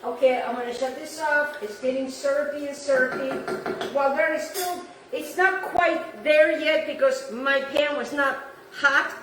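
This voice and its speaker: A chatty woman from Italy, Italian her first language, talks a home cook through a recipe and repeats her tips. Her voice sits high. It is picked up from a little distance in an echoey kitchen with faint room noise. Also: normal speed, fairly bright tone. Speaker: home cooking host. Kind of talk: casual cooking demonstration.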